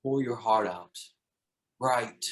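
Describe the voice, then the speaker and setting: A man's voice: mid-range voice, takes it slowly, fairly bright tone. A man playing an insecure, ambitious husband and secret lover in a screenplay table read. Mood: pleading, intense. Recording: roomy, echoing sound.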